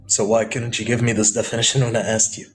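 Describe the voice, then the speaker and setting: Male debater, mid-pitched voice, persistent and probing in his questioning, music playing behind him. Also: flat delivery, fast talker. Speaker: debate participant.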